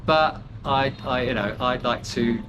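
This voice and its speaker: A man's voice: mid-range voice, a little slow, muffled recording, background noise. An older British man chatting candidly as an interview guest, rambling with fillers and restarts and poking fun at himself. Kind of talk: casual chat.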